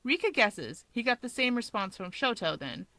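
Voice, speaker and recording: A woman reading a story aloud in an expressive narrating style. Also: on the high side, normal speed, no room sound. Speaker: fan fiction reader.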